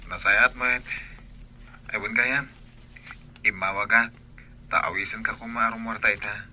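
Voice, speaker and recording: An eager young suitor played by a man. His voice is very bright and sharp, coaxing in radio drama style over faint background noise. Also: on the low side, fairly even intonation, moderate pace. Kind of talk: Filipino radio drama acting.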